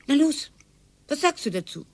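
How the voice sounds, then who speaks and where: An actress playing a sharp-tongued retired wife over sixty in a radio play, sarcastic and theatrical. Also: female voice, high voice, big pitch swings, normal speed, no echo. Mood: demanding. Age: older. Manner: sarcastic and theatrical, swings from loud to whispered.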